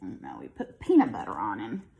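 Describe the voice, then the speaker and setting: A woman's voice: a bass voice, wildly swooping pitch, fairly quick, creaky, mellow. A woman with an American accent, a kindergarten teacher reading a story aloud to young children and explaining it simply as she goes.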